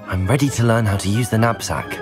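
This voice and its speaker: A man's voice: fairly low pitch, fairly quick, soft background music, lightly acted. An actor playing a polite, composed young male student wizard in a video game. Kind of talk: scripted video game character acting.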